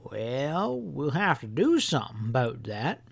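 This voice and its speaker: A man's voice: medium pitch, big pitch swings, measured pace, gravelly, breathy edge, full character voice. A man voicing a mischievous, folksy old country grandfather who explains things with earnest emphasis in an audiobook story. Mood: sly, folksy. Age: older.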